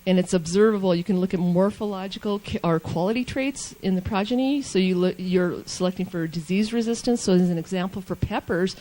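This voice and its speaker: A woman who farms organically and studies for a doctorate, giving a conference talk over slides, quick and informal with many ums and restarts. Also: mid-range voice, dark tone, poor audio. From New Mexico. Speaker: panel speaker, organic farmer and doctoral student. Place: conference hall. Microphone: handheld mic.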